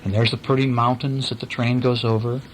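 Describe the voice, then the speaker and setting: A man's voice: medium pitch, little pitch movement, brisk, a little hiss or hum, rough recording. A man reading a bedtime story to his young children in a casual, playful way, breaking off to ask questions and act out sound effects.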